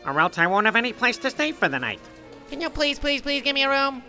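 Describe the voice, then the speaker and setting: A man voicing a flustered American tourist from New York with a New York accent, whiny and quick to apologize. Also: extremely high pitch, sing-song, bright, forceful tone, soft background music, full character voice. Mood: exasperated. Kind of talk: comedy audio sketch.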